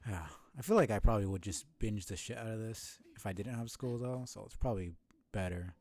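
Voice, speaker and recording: A man's voice: fairly low pitch, brisk, mellow. A young man streaming a game live, thinking aloud in casual muttered commentary with small self-deprecating asides. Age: young adult.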